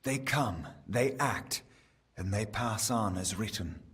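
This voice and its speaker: A man's voice: fairly low pitch, takes it slowly, fairly bright tone. An actor playing a solemn, ancient fae king in a fantasy game, speaking in a formal, portentous manner. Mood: grave counsel. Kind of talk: scripted fantasy game acting.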